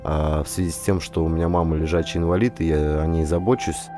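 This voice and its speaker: A man's voice: a bass voice, fairly even intonation, quick pace, mellow, faint music behind. A man, a professional actor, speaking as an audiobook narrator with a dramatic storyteller's delivery. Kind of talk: dramatic scripted audiobook narration, then direct address to listeners.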